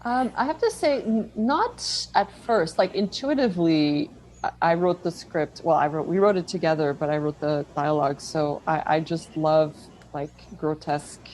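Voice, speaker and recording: Woman filmmaker chatting in an interview, lowish voice, some noise behind her. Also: normal speed, a little mellow, low-quality recording. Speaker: film director and screenwriter interviewed as guest. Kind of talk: casual conversational interview answers.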